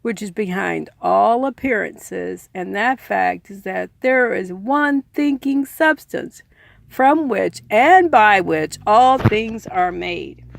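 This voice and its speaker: A woman's voice: mid-range voice, lively intonation, takes it slowly, vocal fry. A woman reading a book aloud earnestly, in an informal audiobook style with personal asides. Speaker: reader and commentator.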